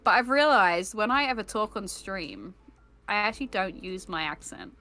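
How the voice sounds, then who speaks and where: A woman's voice: medium pitch, lively intonation, normal speed, sharp tone, faint music behind. A young British woman streaming, chatting casually and playfully, reading out viewer comments with frequent laughs. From Britain. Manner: playful, giggly, reads chat aloud. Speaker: vtuber streamer.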